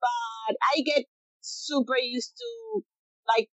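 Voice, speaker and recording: A woman's voice: sits high, big pitch swings, fairly bright tone, no echo, rough recording. A woman in her late twenties with a Chilean accent, talking casually in an interview and often stopping to restart her sentences. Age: late 20s. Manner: halting, many restarts and fillers. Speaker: guest, professional bodyboarder.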